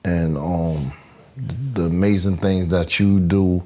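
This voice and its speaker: Man, podcast host, very low voice, slow, halting, lots of fillers, earnest. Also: fairly even intonation, mellow. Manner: halting, many fillers and restarts, says "you know what I'm saying"; devout, earnest. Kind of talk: interview conversation.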